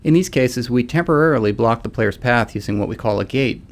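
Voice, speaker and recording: A man's voice: medium pitch, animated, fairly quick. A man speaking as a game developer, giving narrated commentary.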